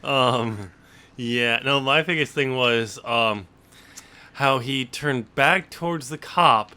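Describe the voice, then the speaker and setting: A man's voice: mid-range voice, slow, sharp tone, clear, resonant tone. A man hosting a podcast as a writer, casually discussing in a conversational way. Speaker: podcast host and novelist.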